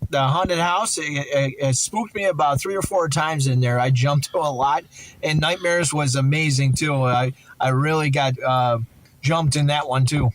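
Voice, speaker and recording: A man giving a casual reaction on the spot, halting and repeating his words. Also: a little high, slight background noise, poor audio.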